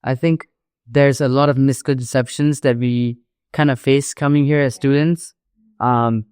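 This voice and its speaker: A young Malaysian host talks in a chatty, casual podcast style with lots of filler "like". The voice sits at a middling pitch and is recorded close and dry. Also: fairly even intonation, unhurried, darkish tone, clear, resonant tone, no room sound. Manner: chatty, frequent 'like'. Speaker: podcast host and international student.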